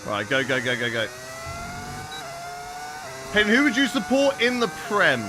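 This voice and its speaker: A man's voice: high voice, huge pitch swings, a little slow, noisy background. A young man casually chatting with viewers while he plays a racing game on a live stream.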